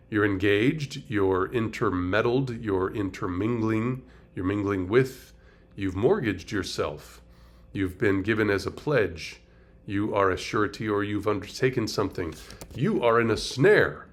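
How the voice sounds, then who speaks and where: Low-voiced middle-aged pastor teaching. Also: male voice. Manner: asks rhetorical questions and breaks down word meanings. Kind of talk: conversational Bible study teaching.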